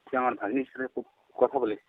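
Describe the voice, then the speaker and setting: A man's voice: medium pitch, steady tone, fast talker, no room sound. A man with a Bengali accent questioning someone confrontationally over the phone in short, repeated questions. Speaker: phone caller posing as a girl's uncle. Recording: tinny phone-line sound, dry.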